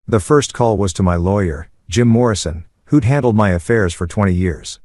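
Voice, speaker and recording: Low-voiced male story narrator, dry close sound. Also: moderate pace, a little mellow, no room sound. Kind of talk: first-person story narration.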